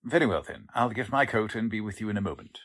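A man's voice: fairly low pitch, quick pace, heavy background noise, close to an everyday voice. A man playing an earnest, deferential warehouse clerk in 1940s radio drama style, polite and a little hesitant.

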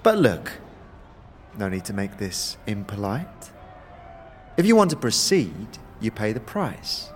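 A man's voice: on the low side, very animated, slow pace, pauses often, soft background music. A man voicing a mischievous female gang member in a fantasy audio drama, with theatrical mock courtesy and archaic phrasing. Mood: politely menacing.

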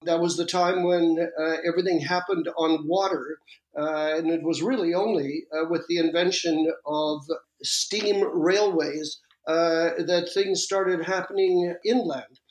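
Older Swedish-Canadian designer, higher voice, reflective and halting, roomy sound. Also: male voice, fairly even intonation. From Sweden and Canada. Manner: measured, with frequent pauses and uhs. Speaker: guest, industrial designer. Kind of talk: reflective interview talk.

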